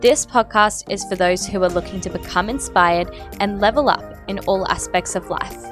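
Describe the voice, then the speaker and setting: A woman's voice: medium pitch, normal speed, music in the background. A woman hosting an interview podcast, speaking in an upbeat, enthusiastic way. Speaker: podcast host.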